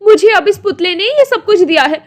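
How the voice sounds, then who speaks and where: A woman voicing a greedy, sharp-tongued village wife in a moral-story cartoon, with dramatic delivery. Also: very high voice, lively intonation, brisk, voice pushed up. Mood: taunting. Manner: taunting, dramatic.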